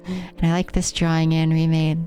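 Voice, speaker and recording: An American woman streams a game with casual, musing commentary. Her voice is soft-edged and dark, sitting a little low, with a slight speech impediment and an affected, trained silliness. Faint game music plays behind her. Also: flat delivery, normal speed. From United States, Rocky Mountain area. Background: video game music.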